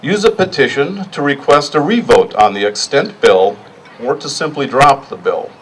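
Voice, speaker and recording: A middle-aged man addresses a public rally with a medium-pitched voice. He speaks plainly and deliberately, partly off the cuff, pausing to stress words. The voice is picked up from a distance, with some noise around it. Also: expressive.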